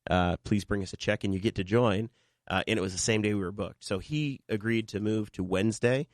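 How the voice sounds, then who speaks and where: A man with a cold hosts a casual local podcast, speaking fast in a lowish voice. He rambles and restarts often. The sound is dry and close. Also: no echo. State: fighting a cold. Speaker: podcast host. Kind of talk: casual chat.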